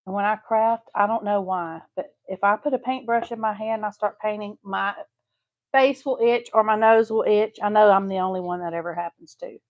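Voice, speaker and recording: A woman's voice: medium pitch, fairly even intonation, moderate pace, muffled recording. Texas lady crafting live, chatty and homey, talks to viewers while she paints. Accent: Southeast Texas. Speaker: craft channel host. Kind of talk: casual live-stream craft tutorial.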